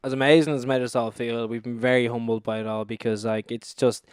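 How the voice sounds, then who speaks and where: Casting: young Irish male band member, clear voice, informal interview chat, dry sound. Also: medium pitch, level tone, quick pace, no room sound. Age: young adult.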